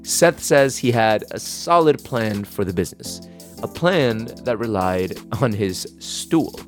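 A man's voice: lowish voice, expressive, slow, faint music behind. A man narrating a podcast story in a wry, upbeat way.

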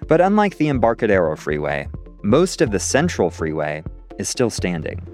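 Man, radio reporter, low voice, lively pitch, faint music underneath. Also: moderate pace. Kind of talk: scripted radio narration. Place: outdoors in a city park.

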